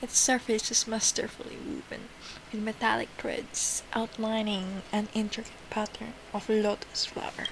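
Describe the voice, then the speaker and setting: A woman's voice: a little high, little pitch movement, moderate pace, vocal fry, slight background noise, poor audio. A woman voicing a young traveler, reading video game lines aloud. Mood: curious, plain.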